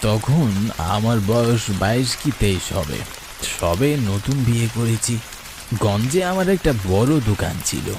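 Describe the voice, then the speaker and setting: A man voicing an older villager who recounts a ghost encounter from his youth in a suspenseful storytelling manner for a cartoon. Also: on the low side. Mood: reminiscing. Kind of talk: dramatic ghost story narration. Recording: noisy night ambience with chirping crickets.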